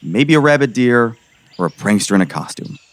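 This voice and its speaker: A man acting a gruff, skeptical middle-aged sheriff in an audio drama, exasperated and pressing. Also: medium pitch, expressive, brisk, lightly acted. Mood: exasperated, sarcastic. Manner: exasperated, sarcastic, pressing interrogator.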